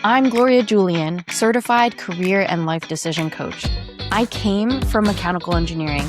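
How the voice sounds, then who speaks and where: A woman in her thirties hosting a solo podcast as a career coach, talking in a reflective, explanatory way. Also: medium pitch.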